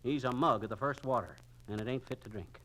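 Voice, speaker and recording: An American actor playing a folksy, excitable, work-shy husband in a 1940s radio comedy, slangy and wisecracking. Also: male voice, mid-range voice, quick pace, close to an everyday voice, rough recording. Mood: evasive, wisecracking. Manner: flowery spiel, wisecracking, slangy. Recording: vintage 1940s radio recording.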